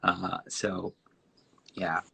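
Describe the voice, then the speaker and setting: A man's voice: sits low, flat delivery, very slow, gravelly, bright, forceful tone, breathy edge. A Mexican man, a native Spanish speaker with a Mexican accent, answering interview questions in a reflective, rambling way with many restarts. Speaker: guest designer. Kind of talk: live-streamed interview answers.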